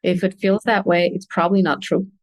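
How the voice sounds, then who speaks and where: A woman's voice: lowish voice, flat delivery, a little fast, dry recording, low-quality recording. A woman, explaining things earnestly and candidly in a conversational interview as a guest coach. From Russia. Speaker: podcast guest, consultant and coach.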